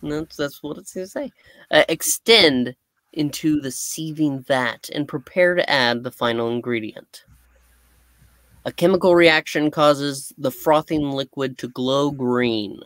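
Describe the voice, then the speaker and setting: A man's voice: on the high side, a little slow, fairly bright tone, no echo. A man narrating a comic book aloud as a dramatic live reading, with casual asides. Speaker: narrator and reader.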